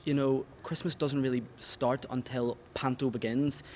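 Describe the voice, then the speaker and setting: A man's voice: mid-range voice, flat delivery, moderate pace, darkish tone. A young man, an actor giving a sincere interview. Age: young adult. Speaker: pantomime actor. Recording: faint background ambient noise.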